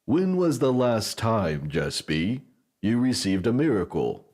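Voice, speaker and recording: A man's voice: fairly low pitch, sing-song, measured pace, darkish tone, exaggerated voice. Man playing a pompous, swooping guru founder. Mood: grandly serene. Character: grandiose guru-like male founder selling miracles. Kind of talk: audio drama talk show guest.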